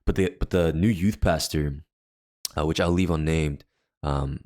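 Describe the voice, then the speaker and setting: A young man with a naturally deep, low-pitched voice tells a personal story on a casual faith podcast. He rambles and restarts, packing his sentences with fillers as he goes. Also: a little slow, a little mellow. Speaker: podcast co-host.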